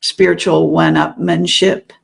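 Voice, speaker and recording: An older woman talks in a loose, meandering way, full of fillers and restarts. Her voice is heavily gravelly with a lot of fry. She sounds like a guest in a relaxed conversation. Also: sits low, measured pace. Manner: rambling, meandering, many fillers. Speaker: interview guest, show host. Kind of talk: casual interview chat.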